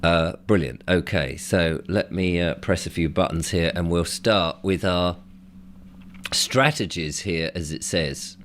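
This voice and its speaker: A man hosting an interview, croaky from a sore throat, chatting with loose rambling asides. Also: low-pitched, unhurried, fairly bright tone, slight background noise. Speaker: host.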